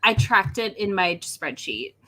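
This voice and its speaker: A woman's voice: mid-range voice, expressive, measured pace, fairly bright tone, low-quality recording. A woman hosting an online readalong, chatting casually and playfully teasing the others about books. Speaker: host of an online book readalong livestream.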